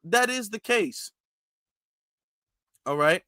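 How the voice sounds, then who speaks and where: A man's voice: high-pitched, expressive, slow pace, sharp tone, no room sound, clean studio sound. A man hosting a livestream commentary, lecturing emphatically and repeating his points. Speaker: commentary channel host.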